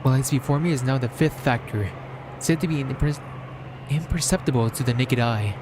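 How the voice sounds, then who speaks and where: A man reads a visual novel aloud as dramatic first-person narration, measured and solemn, in short deliberate phrases. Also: mid-range voice, noisy background. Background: background music.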